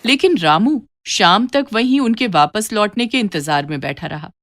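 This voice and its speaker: A woman's voice: medium pitch, lively intonation, normal speed, fairly bright tone, slight background noise. A woman narrating a scripted moral story for children as a storyteller.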